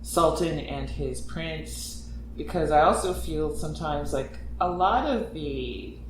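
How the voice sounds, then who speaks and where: A woman's voice: deep voice, expressive, takes it slowly. Woman, voice actor, wry joking chat, roomy room, background noise. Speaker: voice actor on the podcast. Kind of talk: casual chat. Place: apartment room. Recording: roomy and noisy.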